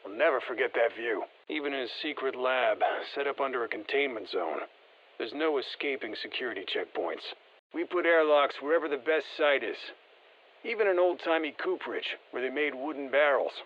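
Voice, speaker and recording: An actor playing a seasoned, wry special forces operative in a video game, speaking matter-of-factly through a radio filter. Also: male voice, medium pitch, expressive, fairly quick, dull audio. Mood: wry, reflective. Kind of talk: scripted game voice lines, field commentary.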